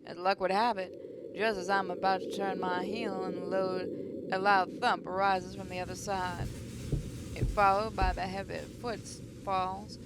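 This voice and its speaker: A woman reading a visual novel aloud as expressive first-person story narration. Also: medium pitch, a little slow, heavy background noise. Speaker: gaming channel host reading a visual novel. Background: guitar music.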